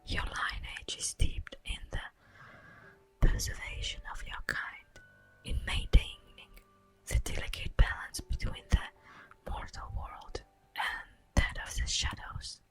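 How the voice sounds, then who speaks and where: A woman playing a solemn, tender elf guardian of the forest in a breathy, whispered ASMR roleplay, speaking formally and poetically. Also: lightly acted. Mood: solemn, grave. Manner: slow, formal, poetic, drawn-out delivery.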